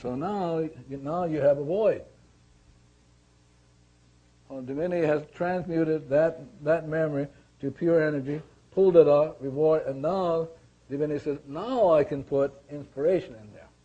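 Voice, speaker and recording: Male teacher, mellow tone, deliberate and emphatic, muffled lo-fi sound. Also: on the high side. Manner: slow and emphatic, trails off quietly. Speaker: speaker. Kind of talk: teaching talk.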